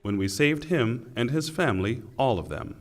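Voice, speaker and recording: A man reading a scripture translation in a solemn, formal, deliberate way, with pauses. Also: mid-range voice, animated. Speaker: translation reader. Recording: long hall reverb.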